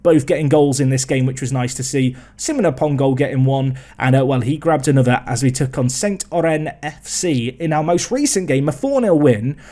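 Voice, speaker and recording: A man's voice: mid-range voice, moderate pace, few pauses, warm tone. A young man casually commenting on a football management game, chatty and self-mocking. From England. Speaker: gaming video host.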